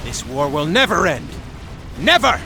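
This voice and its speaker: A man's voice: fairly high pitch, big pitch swings, unhurried, heavy background noise. A man voicing a bitter, paranoid rogue military officer in a video game, with an accusing, dramatic delivery. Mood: fanatical.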